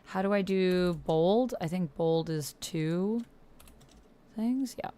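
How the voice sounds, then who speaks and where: A woman livestreaming a text-based roleplaying game, reading narration aloud and chatting casually with slangy, excitable reactions. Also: fairly low pitch, takes it slowly, clean tone.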